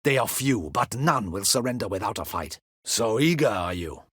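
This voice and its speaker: A proud stern male guard captain for a fantasy game, clipped n haughty, raspy edge, very dry close recording. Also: on the low side, expressive, moderate pace, fairly bright tone, dry recording. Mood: grave, formal. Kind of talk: fantasy video game voice acting.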